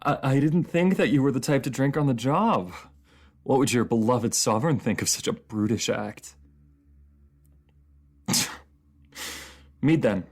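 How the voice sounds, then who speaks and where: Man acting a gravelly, sardonic captive fantasy ruler. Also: mid-range voice. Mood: mocking curiosity. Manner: sardonic, formal, haughty phrasing with taunting pauses. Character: proud captive enemy ruler, sardonic, bitter, secretly admiring. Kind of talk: dramatic fantasy audio roleplay acting.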